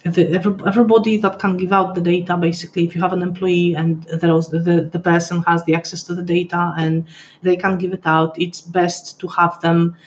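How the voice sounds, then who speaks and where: A woman's voice: lowish voice, level tone, fairly quick, muffled recording, a little hiss or hum. A woman hosting a live webinar from home, chatty and hesitant with frequent restarts, her voice a little worn as she is losing it. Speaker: webinar host. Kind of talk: casual live-stream walkthrough. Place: home room, pet nearby.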